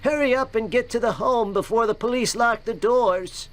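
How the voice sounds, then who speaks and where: A man voicing a frail, nervous little old man in an animated cartoon video game. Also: very high voice, normal speed.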